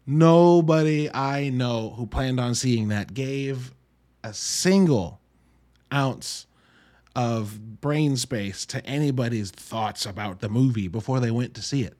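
A man hosting a podcast, giving an informal, wry solo review in an emphatic, halting way with drawn-out words. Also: mid-range voice, darkish tone. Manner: informal, wry, mildly profane. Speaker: podcast host reviewing films. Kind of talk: casual solo review monologue.

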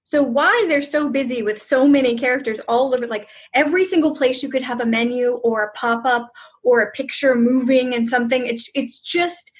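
A woman hosts a relaxed interview, her slightly high voice soft and breathy. She talks in a chatty way and keeps chiming in with quick agreement. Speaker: interviewer, host. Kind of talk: casual interview chat.

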